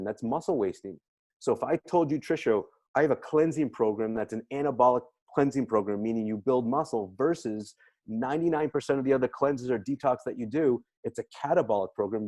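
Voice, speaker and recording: Male health expert, average pitch, emphatic and salesy, explaining products with repetition for stress. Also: mellow. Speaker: health practitioner promoting supplements. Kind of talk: enthusiastic product presentation.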